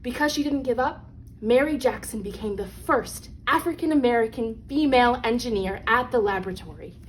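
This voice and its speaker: A woman with a fairly high voice reads a picture book aloud to children. She phrases things deliberately and leans on key words for young listeners. There is some noise in the recording behind her. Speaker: read-aloud storyteller. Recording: clearly noisy background.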